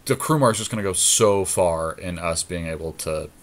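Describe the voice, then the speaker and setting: Low-voiced man talking through game plays. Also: moderate pace. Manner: thinks aloud, reasoning through plays. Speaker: podcast co-host. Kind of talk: casual game commentary with a co-host.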